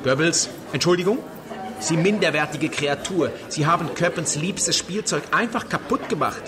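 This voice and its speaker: A man's voice: a little high, expressive, moderate pace, natural voice. An actor playing a sly, sardonic film-world man who needles others theatrically in a radio drama. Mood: mocking. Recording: busy crowd chatter behind.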